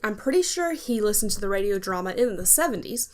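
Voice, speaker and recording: A woman co-hosts a podcast in relaxed conversational style. Her voice is medium in pitch and she chats informally. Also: quick pace. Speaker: podcast co-host. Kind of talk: casual chat.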